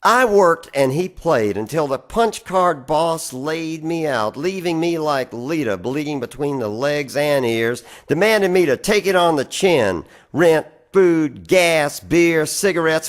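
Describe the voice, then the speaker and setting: Male poetry reader. Unhurried, deliberate delivery with animated intonation. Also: on the high side. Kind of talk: dramatic poetry recitation.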